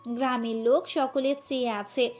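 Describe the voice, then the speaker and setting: High voiced lady narrating an audio story, bit of music behind. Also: fairly even intonation, unhurried, a little mellow. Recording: music under the voice. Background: background music.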